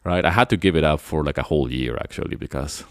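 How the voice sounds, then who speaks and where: Very deep-voiced man rambling. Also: fast talker, no echo, clean studio sound. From Venezuela. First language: Spanish. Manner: rambling, loose and chatty. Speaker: podcast host. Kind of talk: casual reflective monologue.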